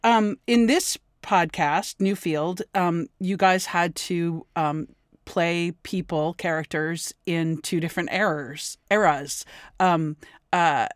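A woman's voice: fairly low pitch, takes it slowly, no echo, studio quality. A woman interviewing actors in casual conversation, as an audio drama creator.

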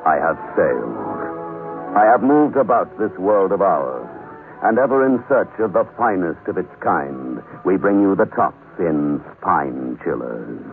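A man's voice: low-pitched, big pitch swings, measured pace, creaky. Old timey radio ad guy, dramatic and polished, tinny sound, music behind. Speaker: announcer. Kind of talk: 1960s radio sponsor announcement. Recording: tinny band-limited old radio recording.

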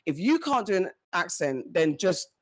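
A man, co-hosting a casual group podcast discussion, animated and quick, often restarting his sentences. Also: high-pitched, dry recording. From Britain. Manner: animated, talks fast with restarts. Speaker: podcast co-host.

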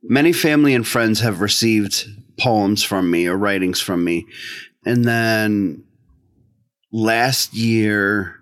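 A middle-aged man with an American accent and a lowish voice talks casually as an interview guest. The sound is dry and close, with no room echo. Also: takes it slowly, a bit bright. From northeastern United States.

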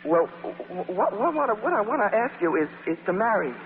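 A bashful young suitor whose high voice jumps around as he stammers earnestly. It is old radio acting, tongue-tied and sincere. The recording is tinny and echoes like a large hall. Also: sing-song, a little fast. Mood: nervous, earnest. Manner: stammering, hesitant. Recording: echoey, noisy, band-limited old radio broadcast.

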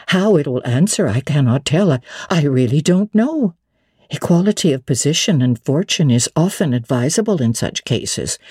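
A woman's voice: low-pitched, mellow, slightly rough, dry recording, studio quality, heavily acted. A woman voicing an elderly widowed housekeeper who is bewildered and cautious, speaking in a hesitant, fretful way in a classic novel reading. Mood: doubtful. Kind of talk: dramatic audiobook reading of classic literature.

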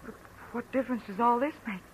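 A woman's voice: a little high, fast talker, close to an everyday voice. A woman playing an anxious, secretive young woman in an old radio drama, with hesitant, questioning delivery. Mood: defensive, worried. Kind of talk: 1940s radio drama acting. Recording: noisy old-time radio recording.

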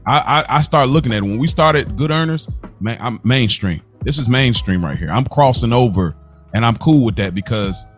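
A man's voice: medium pitch, expressive, normal speed, faint music behind. A man co-hosting a podcast, talking in a casual, earnest way and often repeating himself. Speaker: podcast co-host. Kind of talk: casual chat.

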